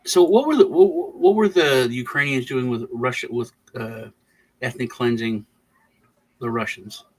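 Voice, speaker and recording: A man from Texas who was raised in Germany, hosting a casual political livestream chat and rambling with halting restarts. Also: mid-range voice, husky, rough recording. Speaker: livestream host.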